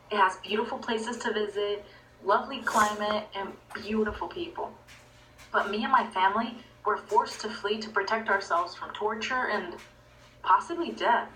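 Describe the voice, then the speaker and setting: A woman's voice: medium pitch, pushed and bright. A woman in her twenties from Venezuela, narrating her own personal story. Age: 20s. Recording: echoey, distant playback with faint noise.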